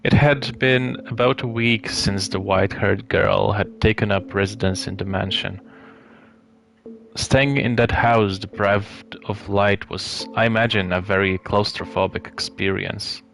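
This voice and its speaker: Slow, low-voiced man narrating, slightly gravelly. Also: steady tone, fairly bright tone, low-quality recording. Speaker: streamer reading a visual novel aloud. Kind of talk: storybook narration read aloud live. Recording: clear background music behind the voice.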